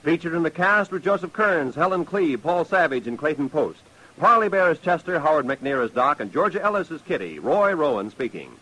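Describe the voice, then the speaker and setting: A fairly high, bright and forceful American man's voice. He announces credits formally in classic 1950s radio style, projecting each line. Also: lively intonation, a little fast, low-quality recording.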